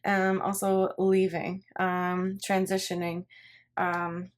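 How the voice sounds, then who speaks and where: A woman's voice: fairly low pitch, monotone, slow. A woman giving a casual tarot reading, thinking aloud in a rambling way and often repeating her phrases. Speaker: tarot reader. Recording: clear background noise, card shuffling and clicks.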